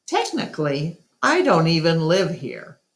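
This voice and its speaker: Woman as small male stray dog, storybook character, sniffy, sceptical, offhand, unhurried. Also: low voice, sing-song, reverberant, full character voice.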